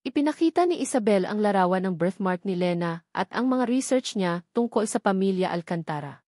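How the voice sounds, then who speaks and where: A woman narrates a scripted drama in a storytelling style. Her voice sits at a middle pitch, and the recording is dry, with no room around it. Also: expressive, a little fast, darkish tone, no echo.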